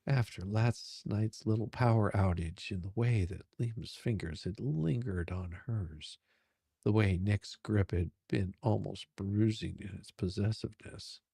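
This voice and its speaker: Slow, gravelly, low-voiced male narrator. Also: dark tone, no echo, clean studio sound. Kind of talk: dramatic reading of a steamy romance.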